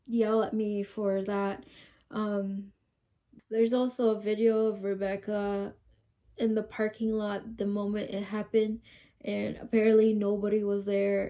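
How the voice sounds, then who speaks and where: A woman's voice: medium pitch, flat delivery, a little slow, warm tone. A sick woman with a stuffed nose, sniffling as she gives casual true-crime commentary, reading news quotes and asking viewers questions. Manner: chatty, asks viewers questions.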